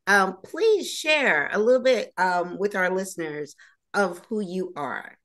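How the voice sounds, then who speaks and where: A woman's voice: medium pitch, expressive, measured pace, fairly bright tone. A woman hosting and producing her own talk show, chatting casually with many fillers and restarts. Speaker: host and producer. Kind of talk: casual interview chat.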